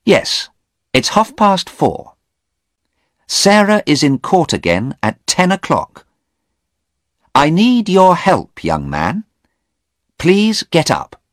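A man's voice: a little high, huge pitch swings, slow, long pauses, no room sound, studio quality, voice pushed up, full character voice. A man reading aloud voices a determined woman pressing a young man with urgent questions. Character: determined middle-aged woman, urgent and firm.

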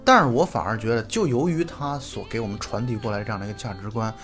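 A middle-aged man co-hosting a podcast, chatting casually and off the cuff in an animated, opinionated way. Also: medium pitch, quick pace, faint music behind. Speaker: podcast host. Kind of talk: casual unscripted podcast chat.

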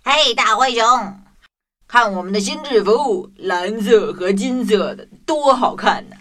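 A woman's voice: on the high side, animated, unhurried, fairly bright tone. A woman voicing a cheerful, friendly character in a children's radio play. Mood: cheerful, inviting. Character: cheerful, friendly drummer or little dog.

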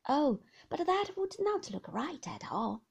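A woman reading the part of an earnest, stiffly correct young gentleman in an audiobook, in a serious, proper manner. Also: high voice, big pitch swings, takes it slowly, vocal fry, pitched above the performer's own voice, exaggerated voice.

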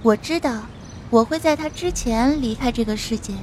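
Woman as a spirited high-voiced young archer. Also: normal speed, a little mellow, natural voice. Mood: wistful reading. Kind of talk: Chinese audio drama acting. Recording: clear background ambience noise.

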